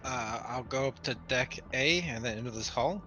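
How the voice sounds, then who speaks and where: A man's voice: medium pitch, moderate pace, sharp tone, rough recording. A man speaking casually as a player in a tabletop roleplaying game.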